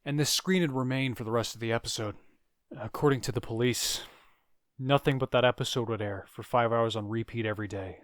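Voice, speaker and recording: A man narrating a scripted first-person horror story, with dramatic pauses and phrases that trail off quietly. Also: medium pitch, steady tone, no echo, studio quality. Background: music.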